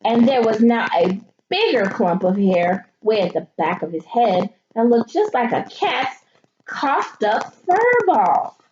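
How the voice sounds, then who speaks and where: Female primary teacher, expressive read-aloud with questions to kids, unhurried delivery, dulled and noisy home-style audio. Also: on the high side. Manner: expressive, thinking aloud, questioning young listeners. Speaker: elementary school teacher. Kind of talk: animated children's picture-book read-aloud with teaching asides. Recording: muffled audio with clear background noise.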